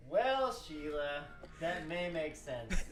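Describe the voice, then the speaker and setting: A man's voice: a little high, sing-song, slow pace, a bit bright, heavily acted. A man playing a hot-headed, foul-mouthed, defiant scientist in an amateur radio play, blustering and exasperated. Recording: roomy, distant mic with music behind.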